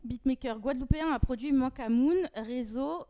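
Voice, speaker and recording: A woman's voice: high voice, normal speed, mellow. A woman hosting a music quiz talk show, speaking in casual, slangy banter.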